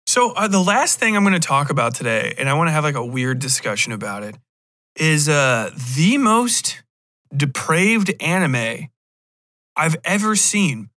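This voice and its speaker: A man's voice: on the high side, very animated, measured pace, pushed and bright. An American man in his thirties rambling casually on a podcast, slangy, profane and opinionated. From Cincinnati, USA. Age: 30s. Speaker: podcast host.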